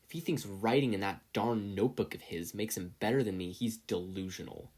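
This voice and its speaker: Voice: sits low, a little fast, darkish tone. A reader voicing a scornful, hot-headed teenage boy who leads bullies, scoffing as he talks. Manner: scoffing, gruff.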